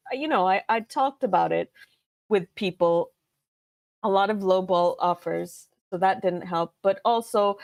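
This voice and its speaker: Animated woman, dry close sound. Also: medium pitch, no room sound. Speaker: co-host. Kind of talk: casual podcast chat.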